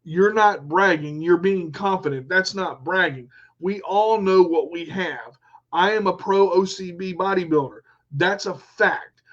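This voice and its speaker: A man with a high, bright-sitting voice hosts a radio talk show, speaking in an emphatic motivational way. He repeats his key lines and keeps checking in with listeners. The recording is dull and lo-fi. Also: a little slow. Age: adult with a son. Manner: emphatic, repetitive, talks straight to listeners. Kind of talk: motivational monologue.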